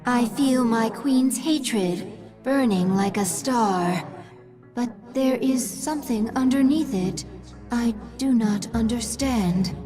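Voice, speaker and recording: An actress playing a calm, devoted alien advisor creature who speaks in a measured, solemn way in a game cutscene. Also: female voice, fairly high pitch. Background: music.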